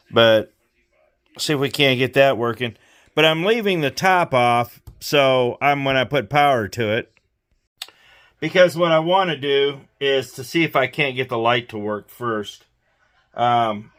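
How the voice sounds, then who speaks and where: A man hosting a repair vlog, talking casually and thinking aloud in an unhurried way as he demonstrates hands-on work. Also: medium pitch, a bit bright, clear, resonant tone. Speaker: host of a radio repair vlog.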